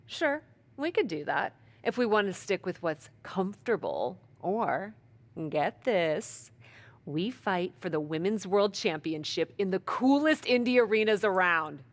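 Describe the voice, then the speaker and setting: A bold, playful wrestling champ. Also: female voice, medium pitch, expressive, measured pace. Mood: persuasive. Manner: playful, persuasive. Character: confident young female wrestling champion. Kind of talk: video game cutscene acting.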